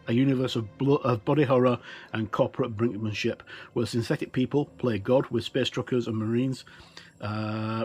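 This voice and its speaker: A man's voice: mid-range voice, darkish tone. Middle-aged man presenting, music behind. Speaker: host of a tabletop gaming channel. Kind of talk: casual presenter talk, book look-through. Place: indoors, tabletop overhead shot.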